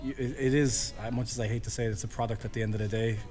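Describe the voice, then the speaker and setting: A man's voice: medium pitch, fairly even intonation, racing, a little mellow, faint music behind. An Irish man in a band, chatting loosely in an interview with plenty of fillers and restarts. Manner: rambling, lots of fillers. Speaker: band member, drummer. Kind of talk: casual interview chat.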